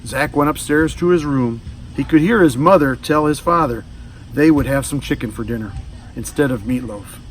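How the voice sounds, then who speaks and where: A man reading a children's storybook aloud in an expressive, animated style. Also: medium pitch, normal speed. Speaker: storybook reader. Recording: distant mic with faint background noise.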